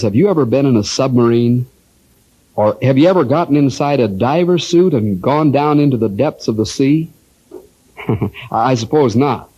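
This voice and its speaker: A man playing an earnest storyteller, narrating an old-time radio adventure dramatically for young listeners in a husky voice. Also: mid-range voice, warm tone, highs cut off. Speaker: narrator and actor.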